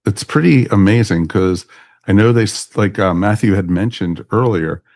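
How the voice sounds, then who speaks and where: A man with a low voice hosts a casual podcast. He rambles enthusiastically like a devoted fan, and the sound is dry and close. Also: moderate pace, a little mellow, no echo. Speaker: podcast host.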